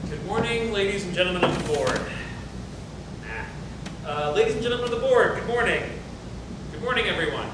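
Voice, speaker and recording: A man's voice: expressive, brisk, pauses often. A man acting a falsely friendly, needling workplace rival in a naturalistic drama table reading. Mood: rehearsing, formal. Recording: very echoey, distant, rumbling noise.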